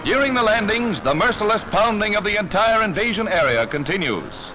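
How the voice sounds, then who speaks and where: High-pitched American 1940s newsreel man over loud music. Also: expressive, a little fast, poor audio. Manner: urgent, dramatic, declamatory. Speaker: newsreel narrator. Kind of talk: 1940s newsreel narration, scripted.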